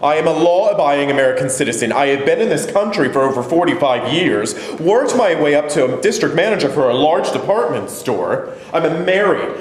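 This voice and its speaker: A man acting the part of a defensive, evasive elderly Holocaust survivor accused of collaborating, protesting in a staged play reading. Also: a little high. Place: indoor room at a staged reading. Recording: echoey room, light noise, distant pickup.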